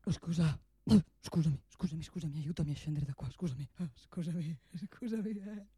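A woman's voice: deep voice, warm tone, breathy edge, dry recording, studio quality. Woman acting a desperate, pleading broken man. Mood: tearful pleading. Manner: halting, repetitive pleading. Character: desperate, pleading man who prays and begs, unstable and tearful. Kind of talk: theatrical monologue, radio theatre.

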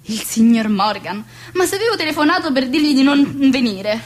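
Radio play, woman as middle-aged hostess and mother: high voice, grandiose, fussy, easily exasperated, melodramatic. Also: lively intonation, normal speed, slight background noise. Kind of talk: radio play acting of a 1920s stage comedy.

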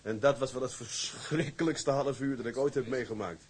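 A man's voice: medium pitch, normal speed, a little hiss or hum, close to an everyday voice. An actor playing an earnest, eager young seaman who has just qualified as a ship's mate, speaking respectfully and a little hesitantly. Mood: relieved, recounting. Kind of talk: radio or screen drama dialogue.

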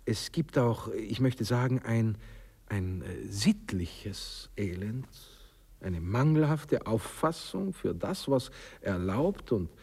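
A moralizing Austrian husband in an old radio drama, with a low, slightly husky voice. He lectures like a condescending father, pompous and easily scandalized, hesitating over delicate words. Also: animated, slow, darkish tone, heavily acted. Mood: pompous, lecturing. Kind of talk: 1960s German-language radio play acting. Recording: reverberant 1960s radio drama studio recording.

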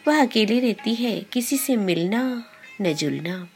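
Slow-paced woman storyteller over music. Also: fairly high pitch, animated, darkish tone. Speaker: podcast host and story reader. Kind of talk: scripted storytelling narration. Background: background music.

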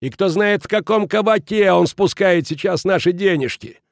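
A man's voice: high-pitched, moderate pace, vocal fry, no room sound, clean studio sound, voice pushed up. A man voicing a bitter, indignant man robbed of his savings, reproachful and shaky, in an audiobook. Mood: accusing.

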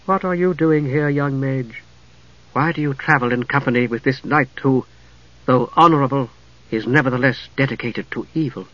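A man voicing a serene, noble blind high priestess in an audiobook, speaking softly and hushed. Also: moderate pace, dull audio, close to an everyday voice.

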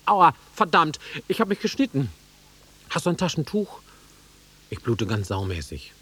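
A man's voice: fairly high pitch, big pitch swings, normal speed, bright, forceful tone, husky, heavily acted. An actor playing an awkward, sentimental middle-aged man in a German radio drama, self-deprecating and swinging between murmurs and outbursts. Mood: pained, alarmed.

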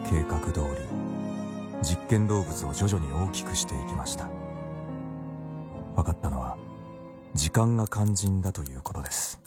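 Male scientist narrator played by an actor, radio drama, earnest and methodical, loud music underneath. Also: low voice, lightly acted. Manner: formal, methodical. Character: male doctor-scientist recounting experiments, earnest, coolly obsessive. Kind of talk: scripted first-person narration, radio drama.

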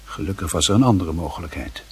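A man acting as the grave, reflective narrator of a literary radio play, husky and measured in his solemn delivery. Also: deep voice, lively intonation, mellow, full character voice. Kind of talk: scripted dramatic narration.

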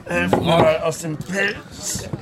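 A man's voice: fairly high pitch, fairly even intonation, slow, vocal fry, a crowd in the background. A man in a German radio play playing a boisterous, domineering, mocking pastor who drinks in defiance. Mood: boisterous teasing. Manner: loud, commanding, mocking.

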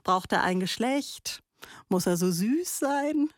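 A woman's voice: medium pitch, lively intonation, slow pace, no echo, studio quality. A woman hosting a radio talk in a conversational style, articulate and often questioning. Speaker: radio host.

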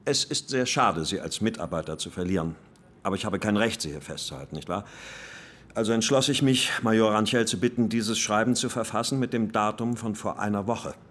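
An actor playing a formal, considerate senior police colonel in a radio crime drama, speaking in a measured way. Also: male voice, lowish voice, a bit bright. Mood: formal, conciliatory. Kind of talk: German radio drama acting.

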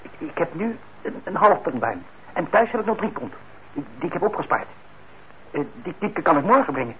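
An actor in a radio play as a shy, eager young working-class lad who stammers and hesitates. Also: male voice, a little high, sing-song, fairly quick. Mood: hesitant. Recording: dull, noisy old radio recording.

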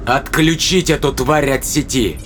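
An actor playing a defiant, quick-thinking spaceship captain in a science fiction audio drama, terse, commanding and rough-tongued. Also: male voice, medium pitch, background noise, natural voice. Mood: gloating, angry.

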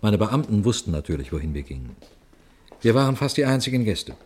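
An actor playing a sober, watchful police detective, telling his case in a measured, formal radio crime drama style. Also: lowish voice, very animated, darkish tone, a little hiss or hum. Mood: tense, observant. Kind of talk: radio crime drama, suspenseful first-person narration and dialogue.

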